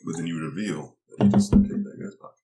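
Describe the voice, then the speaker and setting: A man's voice: sits low, quick pace, dark tone, a little hiss or hum. A man taking part in a tabletop role-playing game, asking and reacting in casual chat.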